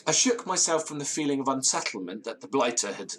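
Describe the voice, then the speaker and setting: An actor playing a pompous, excitable gentleman who speaks in florid, theatrical language in an audio drama. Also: mid-range voice, quick pace. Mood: dramatic narrating. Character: pompous, excitable Victorian-style gentleman storyteller. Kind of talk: dramatic first-person storytelling in audio drama.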